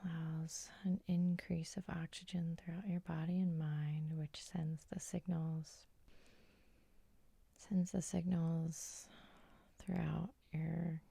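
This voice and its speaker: A woman hypnotist gently guiding sleep hypnosis in a soothing, unhurried, breathy ASMR whisper, close to the microphone. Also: low voice, level tone, dry recording. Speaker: hypnotist and host.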